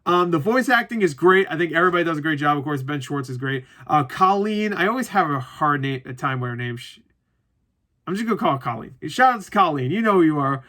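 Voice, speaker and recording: A man giving a casual, unscripted movie review as an online host, rambling and cutting himself off with animated asides and the odd mild swear. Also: on the high side, fast.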